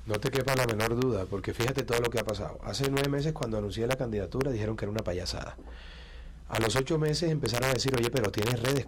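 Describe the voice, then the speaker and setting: A man's voice: mid-range voice, a little hiss or hum. Confident Colombian coastal man, emphatic. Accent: Colombian Caribbean coast. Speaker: presidential candidate. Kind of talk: interview answers.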